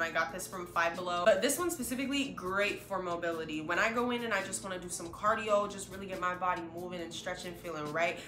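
Young woman, stuffy nose, upbeat vlogger chat, lowish pitch, roomy echo, faint music. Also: bright, forceful tone. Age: young adult. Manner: chatty, upbeat, addresses viewers directly. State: stuffy nose from a sinus infection. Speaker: fitness vlogger. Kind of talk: casual vlog show-and-tell. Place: small bedroom with a couch, indoors.

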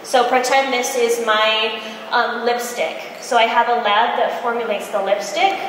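High-voiced American woman in a very echoey hall. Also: measured pace. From Michigan, United States. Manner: chatty, animated storytelling with acted-out quotes. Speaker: entrepreneur speaker, cosmetics brand founder. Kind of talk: informal conference presentation with anecdotes. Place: large indoor expo conference room, on stage. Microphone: handheld microphone through PA, recorded from audience. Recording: very echoey hall, faint background noise.

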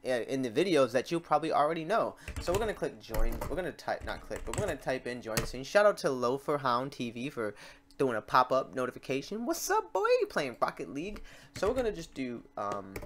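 A man hosting a casual, step-by-step software tutorial, talking informally to his viewers. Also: mid-range voice. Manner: informal, addressing viewers as guys. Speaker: tutorial host. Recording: clear background noise, keyboard typing, clicks. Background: keyboard typing and mouse clicks.

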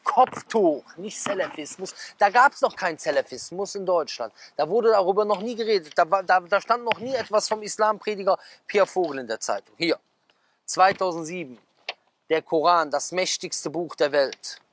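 A man in his thirties, a preacher, sitting at a garden table outside, reading out headlines and breaking into animated asides with questions to his listeners. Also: high voice, a little hiss or hum. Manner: animated, gesturing, quick asides with rhetorical questions. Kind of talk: casual talk, reading out magazine headlines. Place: outdoors at a garden table, sunny.

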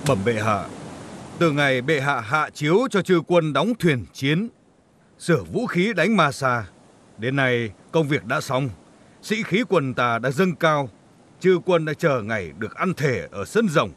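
A man playing a dutiful army general in an animated historical drama, speaking with formal deference. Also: medium pitch, measured pace. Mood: respectful, earnest. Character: loyal, dutiful male army general reporting to emperor.

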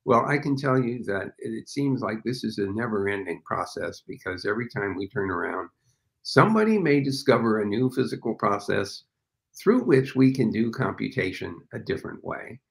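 A man's voice: fairly low pitch, lively intonation, moderate pace, vocal fry. An older man speaking as an interview guest over a video call from a home office, explaining thoughtfully with frequent fillers. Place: home office with framed pictures, indoors. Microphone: video call webcam.

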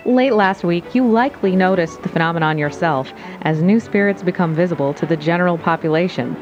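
A woman plays a composed local news anchor. Her voice is on the low side and brisk, with dulled broadcast sound and clear music behind it. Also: dull audio, natural voice. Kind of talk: news reading.

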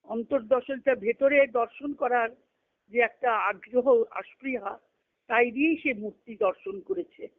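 A woman's voice: on the high side, a little slow. A woman reading a spiritual text aloud and explaining it, repeating and rephrasing as she goes, on a phone conference call. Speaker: spiritual discussion leader. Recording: muffled phone-line conference call audio.

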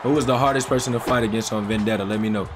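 A man's voice: fairly low pitch, steady tone, very fast, noisy background. A young American man giving casual, slangy reaction commentary as a gaming video host. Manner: slangy, informal.

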